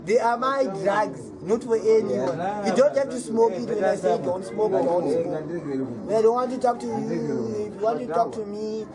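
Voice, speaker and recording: A man's voice: high-pitched, normal speed, poor audio. A Zimbabwean man with a Zimbabwean accent, talking in an erratic, boastful way in a rambling street interview. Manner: boastful, erratic, jumps between topics. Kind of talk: rambling street interview, switching between Shona and English. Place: outdoors in a busy urban street. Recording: loud crowd noise outdoors.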